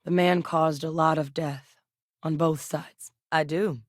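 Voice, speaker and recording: A composed, tactical female officer from a sci-fi video game. She talks in a low register, measured and matter-of-fact. The sound is dry and close. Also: steady tone, dry recording. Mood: calm. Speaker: game character. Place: recording studio.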